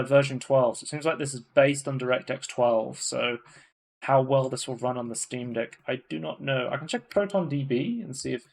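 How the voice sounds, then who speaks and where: A man co-hosting a live gaming podcast, chatting casually and rambling through tech news with lots of fillers and restarts. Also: mid-range voice. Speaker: podcast co-host. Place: home room, live stream.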